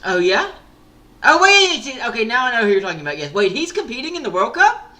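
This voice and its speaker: Guy cohosting an esports podcast, super animated, pitch jumping all over, bright sharp tone, lots of likes and uhs. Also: fast talker. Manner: animated, excitable, many fillers and restarts. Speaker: podcast co-host. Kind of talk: casual esports discussion.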